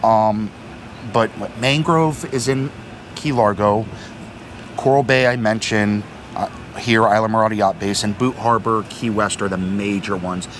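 A middle-aged man co-hosting a vlog, talking casually at a marina dock, repeating words and using many fillers. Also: lowish voice. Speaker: vlog co-host. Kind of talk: casual explanatory chat. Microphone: fixed camera at a few meters. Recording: outdoor noise behind.

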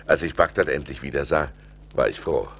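An actor playing a rich seafaring merchant who recounts his adventures as an expressive storyteller in a radio play. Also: rumbling low, brisk, a little hiss or hum. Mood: contented. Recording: vinyl record transfer with music behind.

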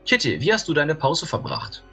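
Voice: mid-range voice, wildly swooping pitch, normal speed, creaky, a bit bright, breathy edge. A giggly, hesitant live-stream host bantering casually with others. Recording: music behind the voice. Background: music.

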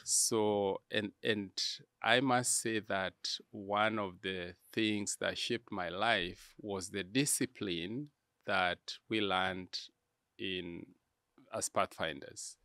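A man with a Kenyan accent answering interview questions in a measured, halting, deliberate way. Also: fairly low pitch, fairly even intonation, sharp tone, dry recording, studio quality. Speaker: interview guest, church media executive. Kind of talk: interview conversation, reflective answers.

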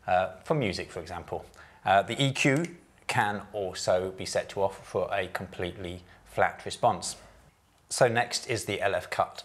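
Measured man presenting a product. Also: on the low side. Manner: measured, methodical, technical explanations. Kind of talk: scripted product walkthrough. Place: office meeting room with windows. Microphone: lapel mic.

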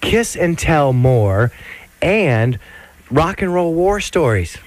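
A man's voice: a little high, sing-song, takes it slowly, no echo. A male radio host chatting casually and joking with a phone-in guest from a radio studio. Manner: laid-back, joking, fillers and restarts. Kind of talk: casual live radio chat.

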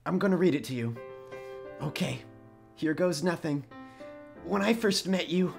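A man's voice: on the high side, measured pace, gravelly, faint music behind. A man playing an earnest, melodramatic, lovesick young man in a comedic roleplay skit. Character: lovesick, clingy young man pining over online girlfriend.

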